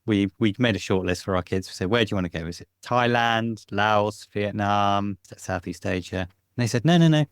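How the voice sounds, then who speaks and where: A middle-aged man from Britain tells a family story in a relaxed, chatty way, laughing now and then. His voice sits on the low side and sounds close and dry, with no room around it. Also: a little fast, hardly any pauses, clean tone, no room sound. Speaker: podcast guest. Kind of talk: casual interview storytelling. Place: home, lives in Spain.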